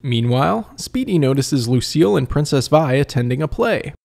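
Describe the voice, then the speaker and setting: Male review host, conversational scripted narration, wry, slightly gravelly. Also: fairly high pitch, expressive, normal speed, a little mellow, faint music behind.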